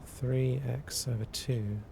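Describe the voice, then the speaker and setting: A man streaming casually, answering chat and working through math aloud in a halting way that repeats words. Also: steady tone, creaky, dark tone. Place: cold indoor room. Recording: noisy with a low rumble.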